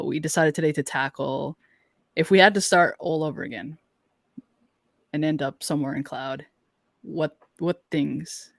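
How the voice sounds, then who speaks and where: A man's voice: on the high side, vocal fry, dry recording. A young man chats casually as a podcast co-host, thinking aloud in an informal, reflective way. The sound is dry, with very little room around the voice. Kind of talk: casual conversational podcast talk.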